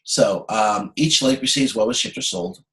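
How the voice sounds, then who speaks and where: A man giving an informal lecture as a course instructor over a video conference, explaining haltingly with frequent pauses and self-corrections. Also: on the low side, a bit bright, poor audio.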